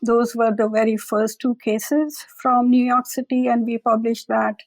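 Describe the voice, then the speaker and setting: Woman with a gravelly, fairly high voice. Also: steady tone, no room sound, rough recording. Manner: measured, explanatory, occasional fillers. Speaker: mycology lab director, interview guest. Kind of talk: conversational scientific explanation.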